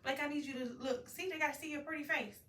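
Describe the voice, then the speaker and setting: A woman telling a story to a young child as a mother, animated, prompting with questions and repeating the answers. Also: high-pitched, quick pace. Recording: roomy, distant home recording with faint noise.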